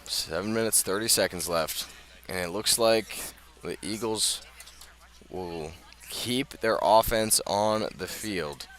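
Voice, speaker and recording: Low-voiced American football play-by-play man. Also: takes it slowly, fairly bright tone. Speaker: play-by-play announcer. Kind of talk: live American football radio commentary. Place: outdoors at a high school football game.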